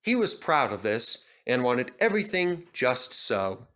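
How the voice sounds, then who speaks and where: A man reading a children's story as narrator, in an expressive storytelling manner. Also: medium pitch, measured pace.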